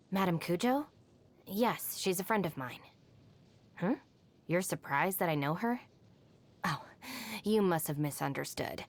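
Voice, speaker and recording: A woman's voice: fairly low pitch, close to an everyday voice. An actress voicing a capable, dry young woman, a gang's deputy in a video game, with a composed, matter-of-fact and self-assured manner. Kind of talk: scripted video game character voice lines. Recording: music under the voice. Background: music.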